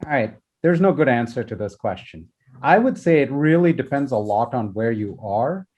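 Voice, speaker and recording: Lively man from India on a call. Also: medium pitch, normal speed. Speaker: professor, panelist. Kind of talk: panel discussion. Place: video call from a room.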